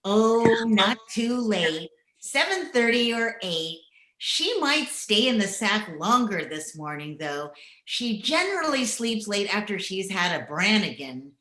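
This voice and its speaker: A wry, plainspoken housemate acted by a woman in old-time radio style, recorded with roomy echo. She drawls out dry wisecracks slowly, with big swings in pitch. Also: big pitch swings, a bit bright. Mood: wry, casual.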